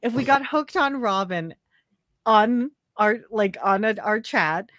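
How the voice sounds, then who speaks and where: Brief: giggly woman co-host, very lively higher voice, dry close sound. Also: slow pace, no room sound, rough recording. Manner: giggly, bubbly, trails off mid-sentence. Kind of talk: casual live chat.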